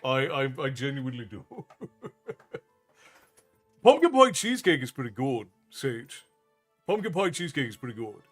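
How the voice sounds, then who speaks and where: Relaxed chatty streamer guy, irish accent with american mixed in, soft music behind. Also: mid-range voice, moderate pace, pauses often. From Ireland. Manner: relaxed, chatty, thanks viewers often. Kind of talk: casual chat with viewers.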